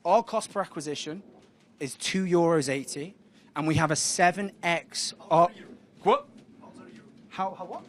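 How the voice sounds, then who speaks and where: A man giving a loud, confident startup pitch on a moving tram, bantering with hecklers. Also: unhurried, pauses often.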